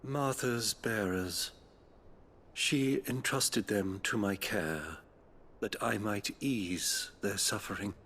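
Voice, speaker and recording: A kindly abbey priest, solemn and gentle, speaking in an unhurried, measured way. His voice is of middling pitch and carries a faint hum of ambience behind it, as in a game cutscene. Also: full character voice. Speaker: priest tending the dying. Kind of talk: video game cutscene acting.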